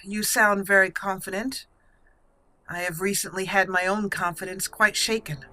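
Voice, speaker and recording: A woman voicing an earnest, formal young noblewoman mage in a game roleplay, slow and careful in her words. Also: mid-range voice, fairly even intonation, sharp tone, natural voice, poor audio. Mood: solemn, doubtful.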